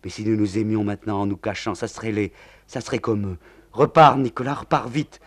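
A man voicing a tormented young woman who speaks in hushed, anguished tones. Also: on the low side, steady tone, a little fast, natural voice.

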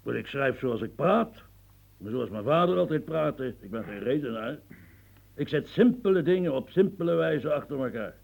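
Grumpy old nobleman in a radio drama, raspy gravelly voice, snotty cold, blunt and rustic. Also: medium pitch, animated, normal speed, a little mellow. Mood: gruff. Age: 70s. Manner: coarse, irritable, rustic bluntness. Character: gruff blunt old male marquis. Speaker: elderly marshal dictating.

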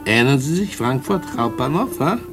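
An actor in a radio drama playing a sly, sinister drug dealer and blackmailer, mockingly polite, smug and cajoling. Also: male voice, medium pitch, animated, brisk, music in the background, natural voice. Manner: smug, cajoling, mock-courteous, chuckling. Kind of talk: mid-century German radio drama acting.

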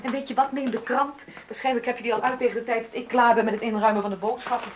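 A middle-aged actress playing an exasperated, sarcastic wife of twenty years in a radio play. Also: female voice, a little high, fairly even intonation, fast, natural voice. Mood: bitter, sarcastic. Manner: argumentative, sarcastic, pointed. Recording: noisy old radio play recording.

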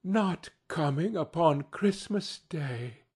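A man playing a gentle, affectionate, poor Victorian father in a storybook, earnest and emotional. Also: medium pitch, wildly swooping pitch, takes it slowly, husky, heavily acted. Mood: eager, then dismayed.

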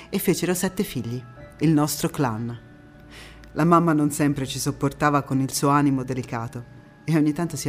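An actress as a proud, defiant partisan woman storyteller. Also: extremely low pitch. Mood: fond, wistful. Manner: dramatic, measured phrasing with deliberate pauses. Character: proud, defiant Italian anti-fascist partisan woman recalling her youth. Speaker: stage actress in a one-woman monologue. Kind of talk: theatrical first-person storytelling monologue.

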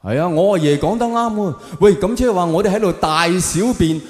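A man's voice: high voice, fairly quick, darkish tone, soft background music. In a large echoey hall with long reverb, a man delivers stand-up comedy with a Hong Kong Cantonese accent. He is conversational and puts rhetorical questions straight to the room.

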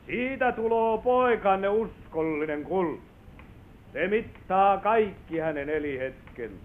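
Folksy salesman, high voice, proud and coaxing, old radio drama, thin phone-like recording. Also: expressive, slight background noise. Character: folksy male clock merchant, proud and persuasive salesman. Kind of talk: vintage Finnish radio play acting.

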